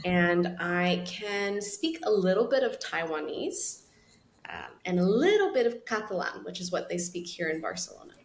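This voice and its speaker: A woman chatting as a guest in an interview over a video call from a small room at home, relaxed and playful, laughing easily. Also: fairly low pitch, moderate pace. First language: English. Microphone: video call with laptop mic. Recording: echoey room with faint noise.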